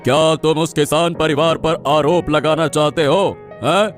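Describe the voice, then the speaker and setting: Cartoon king played by a man: authoritative, probing, commanding delivery, slightly high male pitch. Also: steady tone, a little slow, soft background music, close to an everyday voice. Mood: questioning, commanding. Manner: commanding, questioning. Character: questioning, authoritative king of a village realm. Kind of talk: Hindi cartoon story voice acting.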